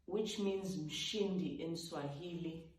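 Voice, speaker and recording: A woman's voice: low voice, takes it slowly, a little hiss or hum. Sotho woman, distant echoey voice. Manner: reflective, breaks into sung snippets and vocal sounds. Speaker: gospel singer-songwriter. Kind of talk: casual storytelling about writing a song. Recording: echoey room, mic at a distance.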